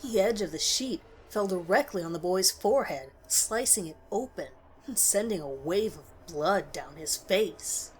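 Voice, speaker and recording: A woman reading a scripted scary story as narrator, in a measured, dramatic way with pauses. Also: lowish voice, lively intonation, poor audio.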